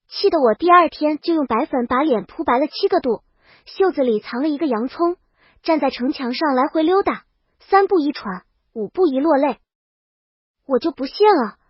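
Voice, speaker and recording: Woman storyteller, high voice, comic first-person narration, very dry but dull lo-fi sound. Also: no echo. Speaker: audiobook narrator.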